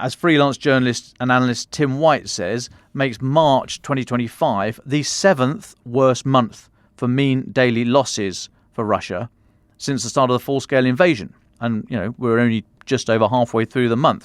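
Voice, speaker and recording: British guy explaining the news on a podcast, mid-range voice, measured but a bit colloquial, dry close sound. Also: medium pitch, steady tone, no room sound. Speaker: podcast defence commentator. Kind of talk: news analysis briefing.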